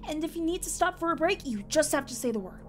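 A woman's voice: sits high, lively intonation, fast, soft background music. A woman voicing a tough, protective, weary young female cartoon pony with a brash, blunt manner in a horror story. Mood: steady, encouraging.

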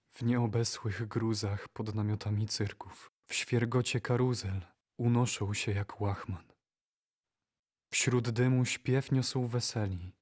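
A man reciting poetry for an audio drama in a solemn, measured manner. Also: on the low side, darkish tone.

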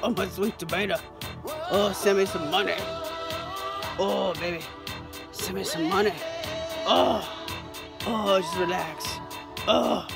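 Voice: mid-range voice, fairly even intonation, takes it slowly, gravelly, pauses often, loud music behind the voice. A performer voicing a rambling, theatrical, crude mock Southern grifter in an improvised satirical monologue, with drawling, melodramatic repetition. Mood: pleading, wheedling. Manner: drawling, melodramatic, repetitive, lurching from whispers to shouts.

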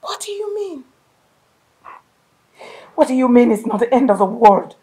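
An actress playing a distraught mother who swings between tearful whispers and anguished shouting. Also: sits high, very animated, normal speed, creaky, heavily acted. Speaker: mother whose daughter was taken. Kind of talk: film drama acting.

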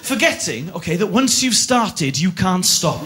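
A man's voice: high voice, sing-song, unhurried. A young Welsh man whose first language is Welsh, telling a stand-up story on a theatre stage into a handheld mic, acting out voices, with many "uh"s and "right?"s. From Wales. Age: young adult. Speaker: stand-up comedian. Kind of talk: stand-up comedy routine. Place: large theatre stage before a live audience. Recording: TV studio audience recording with loud crowd and laughter. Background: audience laughter.

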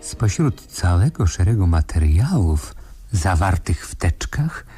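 A man's voice: sits low, very animated, slow pace, dark tone, faint music behind, heavily acted. An actor playing an official man who reads out case files as scripted narration in a radio play. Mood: dry, official. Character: dry male reader of official case files.

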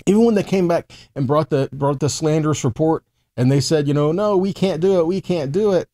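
A man's voice: a little high, brisk, warm tone. A man in his forties giving a conversational, emphatic Bible teaching, reading verses and posing rhetorical questions. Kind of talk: teaching sermon to camera with scripture readings.

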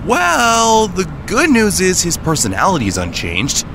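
An actor playing a brainy, bossy teenage mutant turtle in a comic dub, talking in a clipped, matter-of-fact way. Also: male voice, high-pitched, wildly swooping pitch, a little slow, gravelly, noisy background, heavily acted. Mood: dry, worried. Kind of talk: fan comic dub voice acting.

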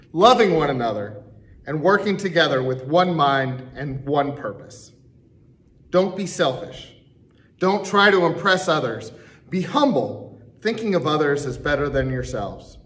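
A middle-aged pastor reads scripture in a church sanctuary, pacing it slowly and leaning on his pauses. His voice sits a bit high for a man. The camera picks him up from a distance. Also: fairly bright tone. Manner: deliberate, slow reading with emphatic pauses. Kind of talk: reading scripture aloud in church.

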